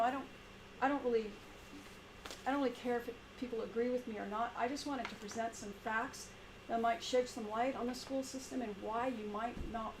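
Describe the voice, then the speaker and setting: A woman with a quiet voice leading a group discussion at a meeting, talking in a halting way with many fillers and restarts. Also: fairly high pitch. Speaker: meeting presenter. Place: meeting room with a small group. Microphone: shared room mic for a video stream. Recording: echoey room, background noise.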